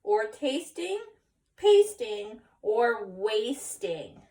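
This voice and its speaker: A woman's voice: a little high, big pitch swings, extremely slow, mellow, recorded from a distance, exaggerated voice. A woman voicing a firm, admonishing but kindly mother cat in a children's storybook. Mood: warning.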